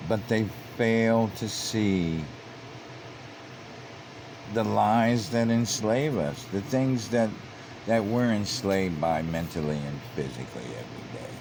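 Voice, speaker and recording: A man's voice: fairly low pitch, slow pace, noisy background. A man commenting on online comments, reading them out and repeating himself as he grows heated and emphatic. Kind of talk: casual commentary.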